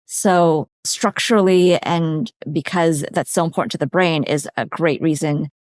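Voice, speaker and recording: Lowish-voiced woman explaining nutrition, dry mic. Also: unhurried, no echo. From California, USA. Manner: warm, practical, explains step by step. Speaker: dietitian, podcast guest. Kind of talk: conversational expert explanation.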